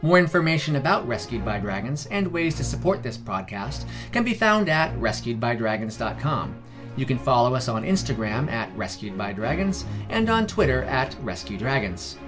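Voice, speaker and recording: A man's voice: medium pitch, very animated, normal speed. A man reading scripted fantasy story narration as the narrator of a fiction podcast. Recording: music behind the voice. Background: background music.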